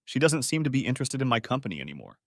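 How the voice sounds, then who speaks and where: A man's voice: mid-range voice, sing-song, rapid-fire, dry recording, clean studio sound. A man voicing a polite, understated, neglected husband in a narrated story. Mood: resigned. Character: quiet, neglected married man on holiday.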